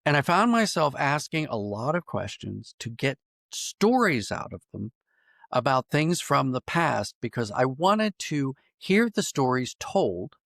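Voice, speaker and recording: A man's voice: mid-range voice, big pitch swings, measured pace, vocal fry, fairly bright tone, no echo, studio quality. An American man speaking as an interview guest in a thoughtful, explanatory way, sometimes repeating phrases as he works out a point. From Pennsylvania, United States. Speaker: podcast guest, writer and audio drama producer. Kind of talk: reflective interview conversation.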